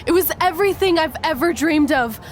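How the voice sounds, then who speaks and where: An actress plays an upbeat young female rookie wrestler in a video game. Her voice sits a little high and sounds friendly and sincere, with faint noise in the background. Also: fairly quick, natural voice. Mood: excited. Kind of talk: video game cutscene acting.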